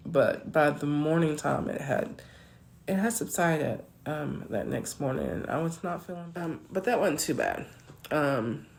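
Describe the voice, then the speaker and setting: Hoarse, deep-voiced woman talking casually. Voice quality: naturally deep voice. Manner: rambling, self-correcting, conversational. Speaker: vlogger. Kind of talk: casual personal account.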